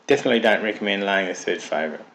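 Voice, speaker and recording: A man's voice: fairly low pitch, very fast, a bit bright. Man with a froggy cold voice. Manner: methodical, step-by-step explaining. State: has a cold, sounds froggy. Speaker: software developer presenting his product. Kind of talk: screen-recorded software walkthrough.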